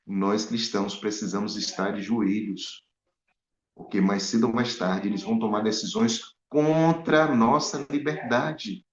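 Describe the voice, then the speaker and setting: A man preaching a Bible study sermon, animated and full of rhetorical questions to his listeners. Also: on the low side, measured pace. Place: in Spain. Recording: echoey, dull lo-fi room sound.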